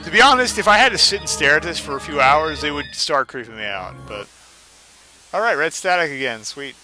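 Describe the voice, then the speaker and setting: A man's voice: on the high side, animated, fairly quick, over music, poor audio. A man doing casual, sarcastic and irreverent commentary while playing a video game. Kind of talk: casual mocking playthrough commentary.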